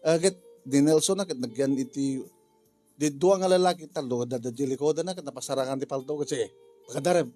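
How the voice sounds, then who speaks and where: A man with an Ilocano Filipino accent talking casually through a drama story in a halting, rambling, jokey way. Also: medium pitch, warm tone, faint music behind. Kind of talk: casual spoken story briefing.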